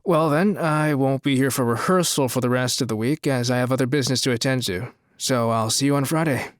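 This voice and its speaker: A man's voice: mid-range voice, a little fast, no echo, studio quality, lightly acted. A man playing a domineering, smug, flirtatious crime boss in an audio drama, commanding and insistent.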